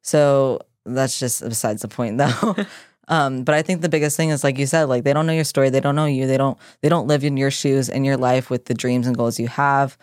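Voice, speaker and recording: A man's voice: mid-range voice, fairly even intonation, fairly quick, no echo, studio quality. A young man co-hosting a podcast in a laid-back, slangy way, laughing a lot. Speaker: podcast co-host. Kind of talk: casual podcast chat.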